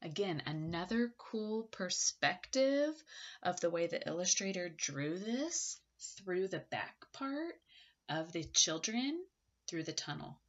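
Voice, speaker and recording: A woman in her thirties with a very lively, swooping delivery, talking children through a picture book. She takes her time, leaning on key words and pausing before prompting with questions. The sound is slightly dull, picked up by a webcam in a room at home. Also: fairly low pitch. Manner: expressive, dramatic pauses and emphasis, prompting. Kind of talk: animated read-aloud with questions to young listeners.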